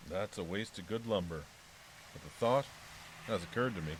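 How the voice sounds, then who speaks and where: Man acting laconic low-voiced caretaker, hissy recording. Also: moderate pace, natural voice, poor audio. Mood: dry, suspicious. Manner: laconic, folksy. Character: laconic, wary male keeper of a haunted house. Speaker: actor in an audio drama. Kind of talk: naturalistic audio drama acting.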